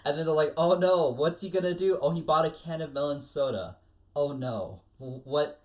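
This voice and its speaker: A man with an American accent in a casual panel chat about anime, retelling things in an animated way with acted-out quotes. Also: fairly high pitch, moderate pace. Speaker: podcast panelist.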